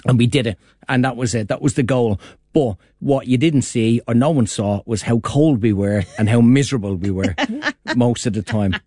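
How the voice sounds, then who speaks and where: A man's voice: mid-range voice, moderate pace, mellow, dry recording, clean studio sound. A man with an Irish accent, chatting on a radio panel and telling a rambling, jokey story with laughs. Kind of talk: casual radio banter.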